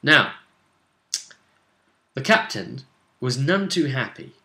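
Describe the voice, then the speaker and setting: A man's voice: mid-range voice, big pitch swings, takes it slowly, bright, forceful tone, reverberant. A man hosting as game master, recapping a role-play session with a storyteller's dramatic delivery. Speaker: game master and show host.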